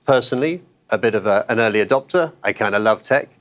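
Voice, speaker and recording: Man guesting on a podcast, lowish voice, chatty, lots of uhs and restarts. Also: lively intonation, fast talker, a bit bright. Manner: conversational, with many "um"s and self-corrections. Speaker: interview guest, investment manager. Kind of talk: podcast interview.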